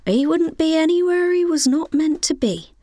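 A woman acting as a scatty, gossipy, naive widow in an audio comedy drama, breathy and rambling with a deadpan delivery. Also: extremely high pitch, normal speed, no echo.

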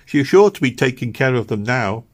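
A man's voice: medium pitch, lively intonation, normal speed, voice pushed up, lightly acted. A man voicing a kindly, motherly older woman with a raised, homely, bustling way of talking. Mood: fretful.

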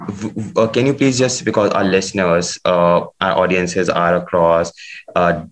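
A man's voice: low-pitched, steady tone, normal speed, slight background noise, poor audio. A man hosting a podcast, reading a prepared introduction and then asking questions with frequent hesitant fillers. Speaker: podcast host.

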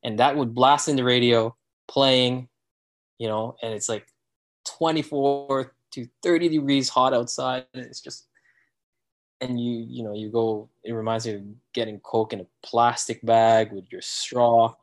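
Brief: thirties male guest, laid-back storyteller, lots of fillers, mid pitch. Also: a little slow. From Philippines. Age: 30s. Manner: relaxed and reminiscing, with fillers. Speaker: podcast guest. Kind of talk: casual chat.

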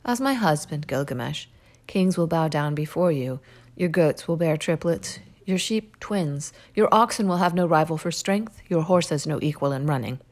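A woman's voice: sits low, expressive, moderate pace. A woman reading aloud, voicing a haughty, commanding goddess who speaks in a grand, declaiming way. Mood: coaxing.

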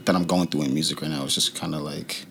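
A man's voice: rumbling low, steady tone, fast talker, vocal fry, a bit bright, a little hiss or hum. A young man, a rapper being interviewed, talking loosely with frequent laughs and restarts. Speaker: guest rapper. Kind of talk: casual interview chat.

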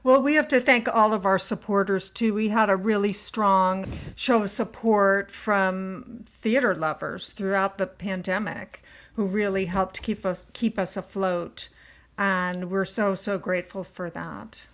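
A woman's voice: medium pitch, steady tone, unhurried, a bit bright. A woman hosting a podcast interview in a conversational style.

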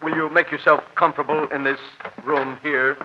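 An actor playing a nervous, placating store detective with hesitant, slangy speech in old-time radio drama style. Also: male voice, a little high, little pitch movement, normal speed, natural voice. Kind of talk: 1940s radio acting. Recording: dull, noisy old radio recording.

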